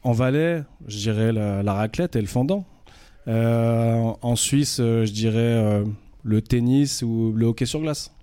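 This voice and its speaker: A man's voice: mid-range voice, a little slow, warm tone, smooth, no room sound. A man in his thirties from France, a radio guest chatting casually, hesitant with many fillers and restarts. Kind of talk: casual interview talk.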